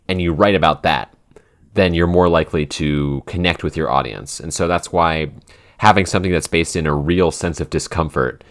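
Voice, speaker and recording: A man chatting casually in a Q&A, explaining his thoughts in a rambling way with restarts mid-sentence. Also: extremely low pitch. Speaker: podcast co-creator and writer. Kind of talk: casual Q&A chat.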